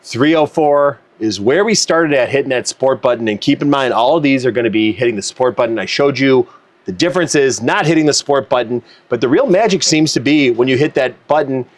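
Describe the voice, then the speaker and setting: A man's voice: medium pitch, expressive, fairly quick. A man hosting a car tuning video, explaining in a casual, matter-of-fact, conversational way. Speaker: car tuner and video host.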